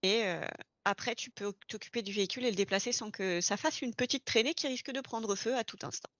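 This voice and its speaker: A woman's voice: a little high, brisk, a bit bright, no room sound, dull audio. A woman explaining things step by step in an informal, casual roleplay chat. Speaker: experienced garage employee training a new recruit in online roleplay.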